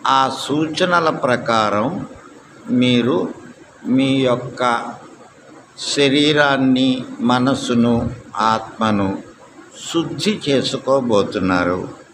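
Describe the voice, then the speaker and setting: A man guiding a meditation as a yoga instructor, calm and deliberate, leaving long pauses, with steady noise in the recording. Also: mid-range voice, poor audio. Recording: clear steady background noise.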